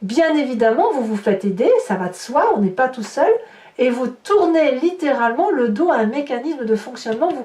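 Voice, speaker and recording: A woman's voice: a little high, a little mellow, distant mic. Woman reading tarot in a roomy space. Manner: slow, emphatic, reassuring, addresses viewers directly. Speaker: tarot reader. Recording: roomy, from off-mic.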